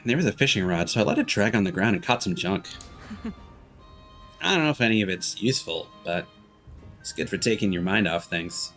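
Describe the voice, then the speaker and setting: Man, casual game streamer, animated intonation, halting trains of thought, soft background music. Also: lowish voice. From Canada. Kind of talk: casual livestream chat with game text read aloud.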